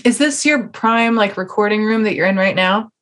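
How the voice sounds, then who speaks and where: A woman hosting a podcast, talking in a casual, informal and teasing conversational way with animated, slangy phrasing. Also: mid-range voice, normal speed. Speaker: podcast host and tattoo artist. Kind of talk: casual interview chat.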